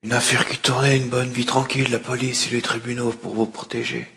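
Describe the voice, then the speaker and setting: A man playing a proud, reproachful mafia godfather in a measured way, in a film fan dub. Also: medium pitch, little pitch movement, gravelly, sharp tone, slight background noise. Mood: reproachful, wounded pride.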